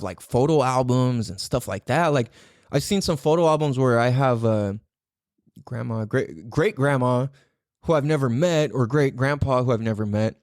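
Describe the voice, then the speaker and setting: Animated, swearing male podcast host. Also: mid-range voice, no echo, studio quality. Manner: profane, emphatic, repeats words for stress; excitable, laughs often. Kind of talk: casual solo podcast monologue.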